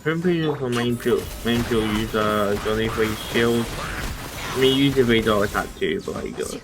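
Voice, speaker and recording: A man's voice: mid-range voice, moderate pace. A man streaming a game, thinking aloud in a hesitant, muttering way as casual commentary. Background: game music.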